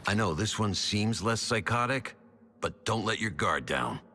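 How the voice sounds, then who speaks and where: An actor playing a grudging, wary antihero in a video game with dry, sardonic delivery. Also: male voice, lowish voice, unhurried, long reverb tail, slight background noise, heavily acted. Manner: deadpan, sardonic. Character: grudging, dry, deep-voiced tough male antihero. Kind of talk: video game character acting.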